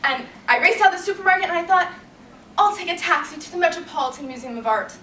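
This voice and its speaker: A woman's voice: very high-pitched, animated, fast, sharp tone, voice pushed up, full character voice. A young woman playing a frazzled, self-absorbed, neurotic woman in a rambling, exasperated comedic stage monologue. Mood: flustered. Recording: roomy, distant mic, faint noise.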